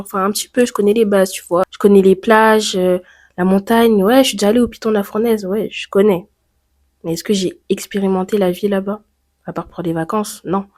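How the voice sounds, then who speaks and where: A teenage girl from France, talking to her camera in a personal vlog and thinking aloud with many fillers and rhetorical questions. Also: medium pitch. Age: teens. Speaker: YouTuber.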